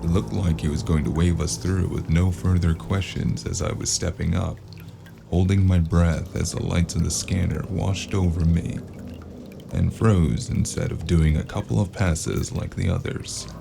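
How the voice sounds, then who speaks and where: A man playing a world-weary, wry noir private investigator in an audio drama, with a gravelly voice. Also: sits low, fairly even intonation, a little slow, over music, full character voice. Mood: brooding, wry.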